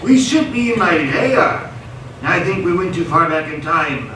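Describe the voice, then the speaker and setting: Man acting a high, sardonic supervillain in an echoey hall. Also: big pitch swings, measured pace, sharp tone, heavily acted. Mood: matter-of-fact. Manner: sardonic, exasperated. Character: grumbling sarcastic male supervillain with gadgets, reluctant and wary. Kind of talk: live radio theatre acting. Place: stage performance in a roomy hall. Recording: echoey hall, distant mic, faint noise.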